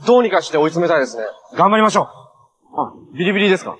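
A man's voice: sits high, big pitch swings, rapid-fire. An actor playing a cheerful, earnest young male superhero in a live-action TV drama, with a casual, friendly way of talking. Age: young adult.

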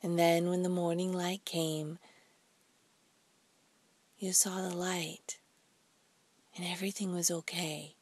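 A woman leading a guided meditation in a soft, breathy, soothing voice, speaking deliberately in short phrases with pauses. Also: lowish voice, little pitch movement, dry recording. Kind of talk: slow guided meditation narration.

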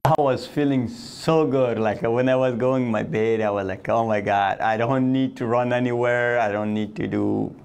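A man's voice: medium pitch, steady tone, normal speed, creaky, few pauses, a little mellow, smooth. A man in his thirties from Afghanistan, speaking English in a sit-down interview, earnest, with phrasing and restarts. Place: indoor office room.

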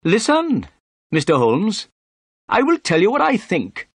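A man's voice: high voice, big pitch swings, a little slow, no echo, studio quality, pitched above the performer's own voice, full character voice. A man voicing a pompous, self-important police inspector in an audiobook detective story, with an insistent, brusque manner. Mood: pompous, certain.

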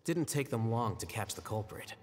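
An actor playing an aloof young male scholar in a game, speaking in a measured, matter-of-fact, lecturing way. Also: fairly low pitch, lively intonation. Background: faint game music.

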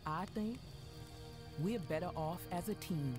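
A young protective cartoon hero, earnest, highish voice, music behind. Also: male voice, unhurried. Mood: earnest, regretful. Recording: cartoon playback under loud music.

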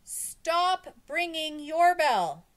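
A woman voicing an urgent crowd of schoolchildren and teachers, shouting in a drawn-out chant. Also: high voice, big pitch swings, pitched above the performer's own voice, full character voice.

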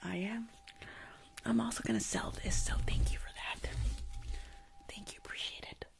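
A woman in her twenties doing hushed ASMR roleplay and livestream chat, murmuring repetitive little phrases close to the microphone. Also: fairly low pitch, very animated, moderate pace, gravelly. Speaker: ASMR creator and livestream host. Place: small indoor room at home. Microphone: close desktop microphone, earphones on.

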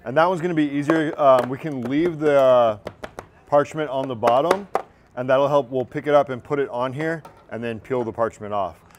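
Man in his thirties, relaxed culinary instructor demoing a dessert, lapel mic in a big kitchen, faint hum behind. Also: mid-range voice, normal speed. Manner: relaxed, encouraging, talks while working. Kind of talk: cooking demonstration. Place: large teaching kitchen, indoors.

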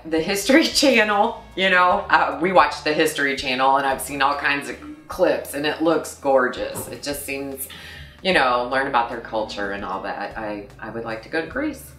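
A woman's voice: very deep voice, fairly bright tone. A middle-aged woman from St. Louis, a customer service rep, talking casually in an office interview with many fillers and small laughs. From St. Louis, Missouri. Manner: halting, many fillers, laughs between thoughts. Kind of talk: casual company profile interview. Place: office room, indoors. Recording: roomy sound from a distant mic, faint music.

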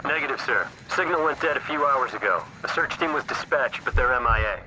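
An actor playing a brisk, businesslike military command operator over a radio in a video game, clipped and formal. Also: male voice, mid-range voice, animated. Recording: radio transmission with loud noise.

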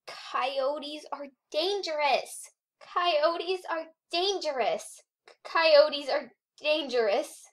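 Tiny high-pitched wolf pup girl. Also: fairly bright tone. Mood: wary. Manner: childish, bold. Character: young female wolf pup, childish, bold, sassy. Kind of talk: cartoon character audition lines.

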